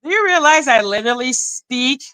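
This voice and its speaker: Bright-voiced woman ranting. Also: high voice, expressive, moderate pace, no room sound. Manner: sarcastic, exasperated, rambling. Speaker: livestream host. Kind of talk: ranting live-stream commentary.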